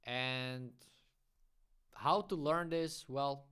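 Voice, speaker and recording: A man's voice: medium pitch, drawn-out words, a bit bright, clean tone. A man who speaks English as a second language, hosting a casual livestream Q&A as a graffiti artist and chatting frankly through his opinions. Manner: chatty and frank, giving opinions with friendly asides.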